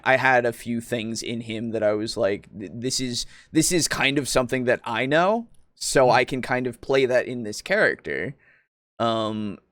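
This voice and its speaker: A man's voice: mid-range voice, fairly bright tone, no room sound, clean studio sound. A man co-hosting a podcast, casually discussing roleplaying games in a hesitant, rambling way, as if thinking aloud. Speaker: podcast co-host.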